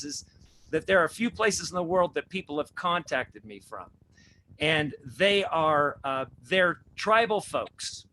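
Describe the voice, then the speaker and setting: A man's voice: fairly high pitch, pushed and bright, slight background noise, poor audio. A man hosting a religious talk show, preaching with repeated rhetorical questions. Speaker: host.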